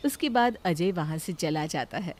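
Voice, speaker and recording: A woman's voice: on the low side, lively intonation, moderate pace, darkish tone. A woman reading scripted narration of a family moral story as storyteller.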